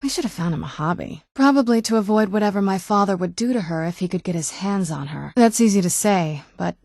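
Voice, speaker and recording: A woman voicing a guarded, wounded young vampire noblewoman in a video game, with dry understatement and hesitant pauses. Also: mid-range voice, animated, gravelly, darkish tone, no room sound. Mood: dry, wry. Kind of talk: video game character dialogue. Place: dry studio recording.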